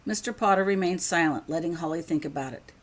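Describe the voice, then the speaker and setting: A woman's voice: on the low side, fast. A woman reading scripted audiobook narration of a story as its author. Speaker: author reading their own fan fiction.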